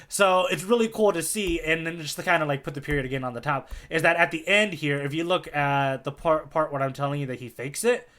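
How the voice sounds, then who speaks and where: A man co-hosting a podcast, casually explaining things in an animated way and often checking in with "right?". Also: fairly high pitch, a little fast, few pauses. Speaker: podcast co-host explaining a manga fight.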